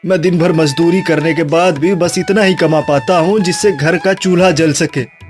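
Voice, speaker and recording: A man's voice: fairly high pitch, steady tone, normal speed, faint music behind, lightly acted. A man voicing an earnest, hardworking younger son in a cartoon moral story, speaking sincerely and pleadingly. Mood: worried, pleading.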